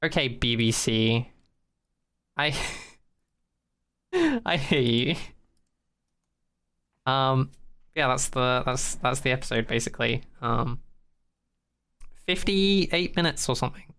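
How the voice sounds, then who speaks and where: Podcast host, bright and clean-toned, casual and rambling, jokey with plenty of swearing. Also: mid-range voice, expressive, slow, pauses often, clean tone. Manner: sweary, jokey, mockingly acts out quotes. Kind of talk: casual rambling recap chat.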